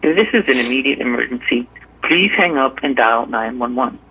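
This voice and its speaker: A man's voice: expressive, a little fast, slightly rough. A man reading a scripted automated phone menu greeting over a telephone line. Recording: tinny low-fidelity telephone line.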